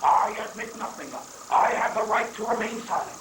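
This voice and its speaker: A woman playing a shifty, evasive, lawyerly male former president in an amateur satirical play reading, halting and pompous. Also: fairly high pitch, animated, close to an everyday voice. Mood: defensive. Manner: halting, stammering, pompous legalese. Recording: echoey distant live recording, faint noise.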